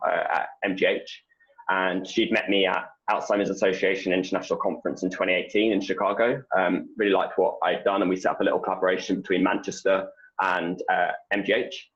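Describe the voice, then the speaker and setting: A young man from England with an English accent, answering interview questions in a relaxed, rambling way with lots of fillers. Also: sits low, lo-fi sound. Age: young adult. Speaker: postdoc researcher guest.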